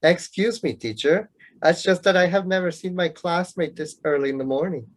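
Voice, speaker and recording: Man as sarcastic young male student, lovelorn rival, mocking and bookish, slightly high voice, radio play. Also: normal speed, natural voice. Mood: mock surprise. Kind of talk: amateur radio play acting.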